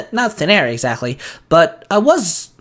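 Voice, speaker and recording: An actor plays a proud, weary warrior stranded far from home in an audio drama. The delivery is formal and wry, middle in pitch but animated. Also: slow pace. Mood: wry explaining. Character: proud, weary samurai-like villager stranded in a cell.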